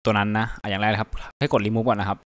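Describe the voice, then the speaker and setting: A man with a fairly low voice hosts a casual software tutorial. He walks through steps at his computer, tacking polite particles onto almost every phrase. He speaks into a computer microphone. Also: level tone, rapid-fire. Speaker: tutorial host. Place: indoors at a computer, voice over screen capture.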